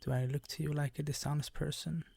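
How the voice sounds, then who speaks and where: An ageless, predatory vampire count, played by a man for an intimate ASMR roleplay. He speaks in a controlled, fairly even tone, close and dry, with formal courtesy that turns condescending and quietly menacing. The creak in his voice sits under every teasing phrase. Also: fairly high pitch, fast talker, dry recording. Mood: flattering, teasing.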